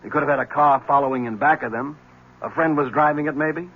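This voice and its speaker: An actor playing a wry, unbothered police lieutenant in a 1970s radio mystery, talking in a dry, matter-of-fact way. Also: male voice, a little high, fast talker, husky. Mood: weary, matter-of-fact. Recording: dull old radio broadcast.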